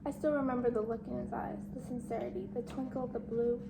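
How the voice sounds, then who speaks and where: A woman's voice: a little high, level tone, fast talker, creaky, heavy background noise. A woman playing a shy, insecure, bookish teenage outcast in an amateur dramatic monologue. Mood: dreamy, nervous.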